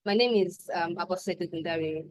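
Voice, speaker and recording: A Nigerian poet and translator, a woman whose first language is Yoruba, introducing herself softly and hesitantly with many ums on a video call. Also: lowish voice, normal speed, no echo. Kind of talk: introducing herself and reading her translated poem.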